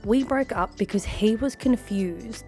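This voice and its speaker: A young woman with a croaky voice from a cough, reading online posts aloud and reacting with sassy asides. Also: on the high side, measured pace, darkish tone, soft background music. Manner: sassy, laughs often, mutters asides. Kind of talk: casual reaction commentary.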